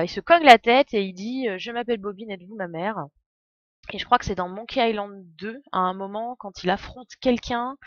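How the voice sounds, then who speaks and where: A woman commentates a game live in a casual, playful way. Her voice sits at a medium pitch and she drifts into chatty asides. The sound is very dry and a little dull, like a close home stream. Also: dry recording.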